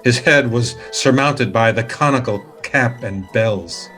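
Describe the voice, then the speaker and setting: Vengeful gentleman narrator, medium-pitched, deliberate, formal diction, smoothly insincere, gothic dramatic reading, music behind. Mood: brooding, vengeful. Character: cold vengeful nobleman narrator, smooth, falsely friendly. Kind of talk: dramatic literary reading, theatrical.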